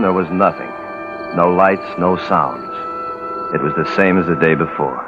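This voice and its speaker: An actor playing a haunted American serviceman, narrating tersely and gravely in a 1950s radio drama. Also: sits low, lightly acted. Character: somber serviceman remembering a bomb test, haunted. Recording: tinny narrow-band old radio recording.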